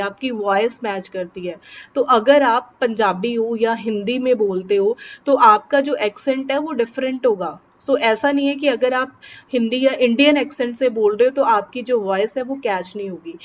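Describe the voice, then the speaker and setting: A woman exam coach with an Indian English accent and a fairly high voice gives a teaching lesson. She blends Hindi with English and slows down on the English key points. The recording sounds dull and lo-fi, with a faint hiss behind her. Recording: dull lo-fi with faint background noise.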